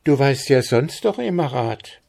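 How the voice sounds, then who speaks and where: A man voicing an eager, hopeful young peasant girl in a storybook reading, lively in manner. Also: mid-range voice, unhurried, vocal fry, voice pushed up. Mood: eager appeal. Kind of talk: fairy-tale storybook reading.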